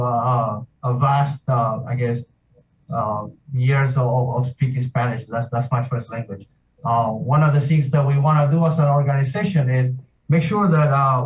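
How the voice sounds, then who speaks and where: A military man from South America, explaining his heritage group at a steady pace, pausing often with "uh". He sounds distant and muffled, as if on a video call picked up from across the room. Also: medium pitch. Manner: steady, hesitant with many uhs. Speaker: association president, military sergeant. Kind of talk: remote video interview.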